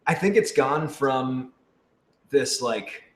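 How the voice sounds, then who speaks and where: A man's voice: medium pitch, animated, takes it slowly. A man, a voice actor, chatting off the cuff in a playful, informal way during a question-and-answer session. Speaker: voice actor on a radio drama. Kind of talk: casual unscripted Q&A chat. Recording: echoey room with clear background noise.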